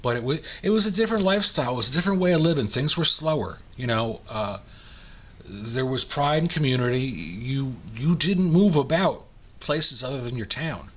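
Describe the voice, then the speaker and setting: An older man with an American accent and a husky voice, reading aloud and chatting in a rambling, nostalgic way. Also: mid-range voice, animated, moderate pace, slight background noise. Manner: rambling, nostalgic, conversational asides. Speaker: amateur reader and host. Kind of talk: dramatic reading of old recipes with casual reminiscing.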